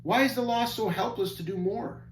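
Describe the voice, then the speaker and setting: A man's voice: high-pitched, normal speed, pitched above the performer's own voice. An earnest, wondering pilgrim with a raised voice and old-fashioned speech, as a man puts him on for a storybook. He leans into his questions. The room is echoey with a faint hum. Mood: puzzled.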